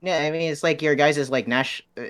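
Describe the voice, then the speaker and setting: A man casually interviewing a friend, relaxed and joking, rambling with fillers. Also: fairly high pitch, a little fast, smooth. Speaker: guest interviewer, podcast host.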